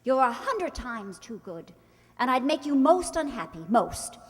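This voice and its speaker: A high-voiced, proud young bride from high society played by a woman in a radio play, witty and emotional. Her lines swoop expressively, ringing in a long hall reverb. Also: very animated, measured pace. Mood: gentle, regretful. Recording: stage hall reverb, faint music.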